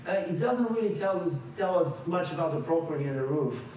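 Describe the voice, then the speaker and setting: A man's voice: fairly high pitch, lively intonation, darkish tone, a little hiss or hum. Bulgarian-born man lecturing in echoey hall. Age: 40s. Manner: conversational, quick restarts, asks the room questions. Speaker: workshop leader. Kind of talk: interactive seminar lecture. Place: large echoey meeting room. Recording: echoey room recording from far off.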